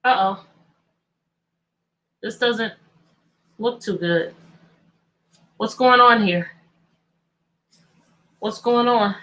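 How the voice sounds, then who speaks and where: A woman's voice: a little high, extremely slow, pauses often, fairly bright tone, rough recording. A woman teacher hosting an interactive children's read-aloud, telling the story expressively and putting repeated questions to her listeners.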